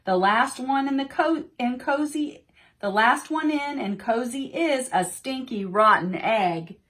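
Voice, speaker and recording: A bossy little girl from a children's storybook, acted out by a woman. She speaks in a firm singsong with a fairly high pitch. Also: animated, unhurried, fairly bright tone.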